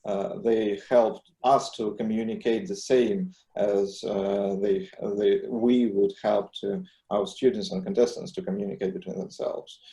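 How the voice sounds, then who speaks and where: Low, mellow-voiced man chairing a meeting. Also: steady tone, a little slow, vocal fry, hardly any pauses, echoey room, low-quality recording. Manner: hesitant, many filler sounds. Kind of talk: video meeting discussion.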